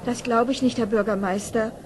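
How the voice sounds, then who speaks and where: Actress as an earnest, high-voiced mother. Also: steady tone, fairly quick, close to an everyday voice. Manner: pleading, emphatic. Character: anxious, earnest mother from the town. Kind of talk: German fairy-tale radio play. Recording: noisy old audio-play recording.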